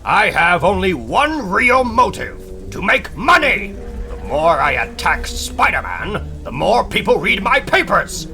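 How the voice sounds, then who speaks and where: A man's voice: high-pitched, big pitch swings, slow, sharp tone, faint music behind, heavily acted. A man playing a blustering, greedy newspaper boss, bossy and emphatic, in a dramatic comic book reading. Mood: indignant bluster.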